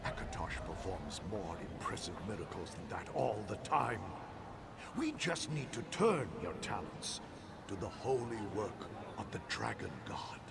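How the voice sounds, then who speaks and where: An actor playing a zealous, fatherly high priest in a fantasy game, preaching in a grand theatrical way with a creaky voice. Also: very animated, unhurried, full character voice. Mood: zealous, grandiose. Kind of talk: scripted fantasy game dialogue. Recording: loud ambient game noise behind.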